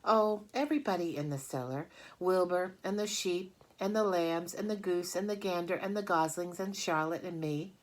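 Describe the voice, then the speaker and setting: A woman's voice: fairly low pitch, moderate pace, hardly any pauses, pitched above the performer's own voice, natural voice. A woman voicing an earnest, imaginative little girl in a children's storybook, chatty and matter-of-fact.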